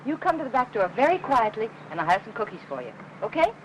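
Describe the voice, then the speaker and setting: An actress playing a gentle, placating housewife under strain in an old film noir. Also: female voice, fairly high pitch, very animated, fast, dull audio. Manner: polite, placating, soft endearments. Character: gentle, strained housewife caring for a sick husband. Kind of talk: 1950s film noir acting. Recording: noisy old film soundtrack.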